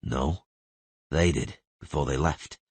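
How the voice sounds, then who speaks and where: A man's voice: a bass voice, steady tone, takes it slowly, husky, no echo, voice pushed down, full character voice. A man voicing a stern, guarded monster hunter and patient mentor in a fantasy audiobook. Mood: somber. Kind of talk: audiobook character voice.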